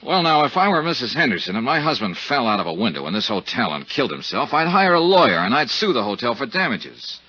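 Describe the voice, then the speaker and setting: An actor playing a shrewd, dogged American investigator who questions and narrates in a clipped way in a 1950s radio detective drama. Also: male voice, medium pitch, sing-song, fast talker, hardly any pauses, pushed and bright, breathy edge, dry recording, heavily acted. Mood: probing, dry. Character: shrewd, dogged male insurance investigator narrating his case. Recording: muffled 1950s radio recording.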